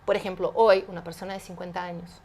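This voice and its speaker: A woman's voice: fairly low pitch, level tone, quick pace, darkish tone. Emphatic woman in her fifties. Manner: emphatic, explanatory, with rhetorical questions. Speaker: guest, entrepreneur. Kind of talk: animated interview conversation.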